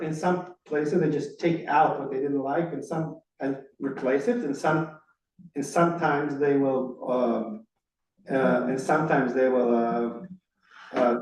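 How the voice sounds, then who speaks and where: A man's voice: mid-range voice, darkish tone. A man from Israel with an Israeli accent, a rabbi teaching his congregation in casual conversation, full of restarts and "right?" checks. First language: Hebrew. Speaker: rabbi leading a study session. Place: synagogue hall. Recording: echoey room, mic at a distance.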